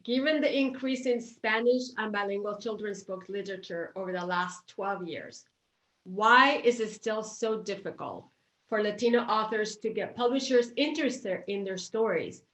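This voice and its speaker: A woman moderating a panel, putting prepared questions in a measured, articulate way, in a roomy recording. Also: medium pitch, a bit bright. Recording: roomy, echoey sound.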